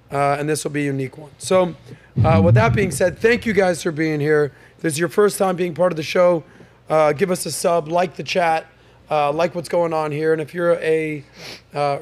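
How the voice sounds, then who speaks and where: A man hosting a podcast panel, upbeat and joking, with plenty of fillers and restarts. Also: on the high side. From United States. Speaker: podcast host. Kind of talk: casual panel talk show hosting.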